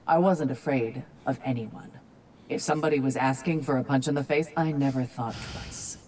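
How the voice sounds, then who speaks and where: A woman's voice: a bass voice, moderate pace, a little mellow. An actress playing a tough warrior woman from a humble background, speaking plainly and defiantly in a video game. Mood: proud, reflective.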